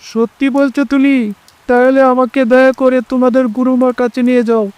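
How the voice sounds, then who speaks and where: A man's voice: very high-pitched, level tone, brisk, a little mellow, clear, resonant tone, no room sound. A man voicing a hapless young cartoon fox turned into a bird, speaking in a plaintive, pleading way. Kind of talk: Bengali children's cartoon dubbing.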